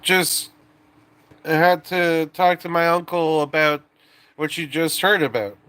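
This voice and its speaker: A man in his twenties talks at a slow, easy pace on his stream, rambling, restarting his sentences and cracking jokes. His voice sits a little high and sounds bright and crisp. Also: fairly even intonation, dry recording. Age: 20s. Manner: rambling, self-interrupting, joking. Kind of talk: casual live-stream chat.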